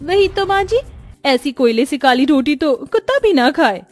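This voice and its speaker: A haughty elder sister-in-law, put on by a woman, pitched very high. She is commanding and mocking in cartoon style, with music behind. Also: animated, moderate pace. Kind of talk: Hindi cartoon moral story voice.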